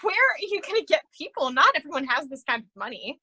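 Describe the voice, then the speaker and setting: A woman's voice: high-pitched, sing-song, fast talker, sharp tone, dry recording, full character voice. An actress in a staged reading playing an aggrieved, suspicious woman, insistent and argumentative. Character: aggrieved, suspicious woman accusing a scheme of cheating her.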